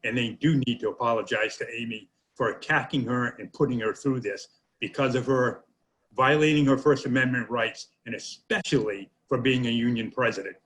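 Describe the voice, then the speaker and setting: A man's voice: medium pitch, moderate pace, fairly bright tone, rough recording. A man co-hosting a union talk show, speaking earnestly and indignantly with a few fillers. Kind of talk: casual talk show commentary.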